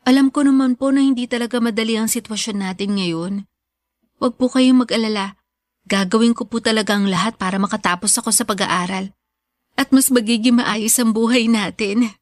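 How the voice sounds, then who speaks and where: A high, youthful voice for a devoted student daughter , played by a woman. She is respectful and earnest, reassuring her mother in Filipino radio drama style. The sound is dry and close. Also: fairly quick, no room sound, close to an everyday voice.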